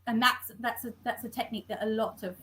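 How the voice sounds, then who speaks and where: A woman's voice: a little high, steady tone, bright, forceful tone, a little hiss or hum. A woman, a scriptwriter, talking through her work in an interview, often stopping and restarting her sentences. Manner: halting, self-correcting, many restarts. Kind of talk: conversational interview. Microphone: video call.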